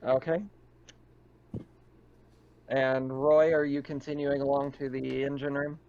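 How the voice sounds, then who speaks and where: A man's voice: medium pitch, flat delivery, slow, a little mellow, highs cut off. A man running a tabletop roleplaying game as game master, narrating to players in second person in a conversational way.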